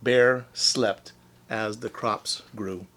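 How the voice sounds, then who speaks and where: A male teacher reads a children's story aloud. His voice is bright and crisp-edged, and he takes his time, phrasing things simply for young listeners. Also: fairly low pitch, steady tone. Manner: deliberate, explains simply to children.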